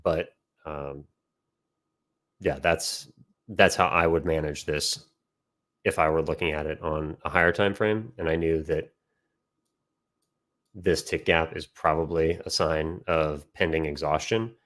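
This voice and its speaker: A man with an extremely low-pitched voice speaks as an educator in an informal online session. He explains step by step in a halting way, often stopping mid-phrase to find his next words. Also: steady tone, a bit bright. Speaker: trading educator and system developer. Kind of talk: informal instructional chart commentary with audience questions.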